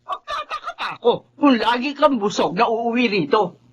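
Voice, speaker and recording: A woman's voice: on the high side, wildly swooping pitch, normal speed, breathy edge, lo-fi sound, full character voice. An actress playing a scornful middle-aged mother-in-law, scolding and belittling in a melodrama. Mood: contemptuous. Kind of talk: Filipino melodrama acting.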